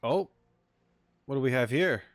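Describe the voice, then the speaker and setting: A man's voice: medium pitch, expressive, measured pace, dry recording. A man giving casual, dry, sarcastic let's-play commentary as a gaming streamer, close to the mic.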